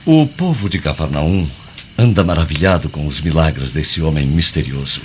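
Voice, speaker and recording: An actor playing a grave, formal Roman confidant to a senator in a radio drama. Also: male voice, very deep voice, lively intonation, normal speed, darkish tone. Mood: grave, intrigued. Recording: muffled, noisy radio drama recording.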